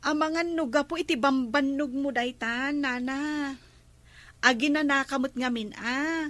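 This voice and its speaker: A woman with an Ilocano accent acting a caring, concerned village neighbour in a comedy drama, with a coaxing, drawn-out delivery. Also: sits high, a bit bright, no echo.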